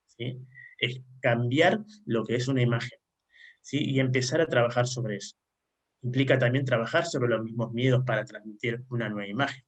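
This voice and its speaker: An Argentine man speaking at a mid pitch as a panelist at an online round table. He lays out his ideas in long explanatory runs. He keeps checking in with his listeners through a rising "¿sí?". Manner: frequent questioning "¿sí?" tags, explanatory. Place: remote online conference.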